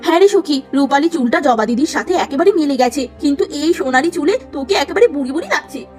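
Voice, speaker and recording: A woman's voice: high-pitched, expressive, fast, darkish tone, soft background music. A woman voicing blunt, gossipy village girlfriends who criticize openly.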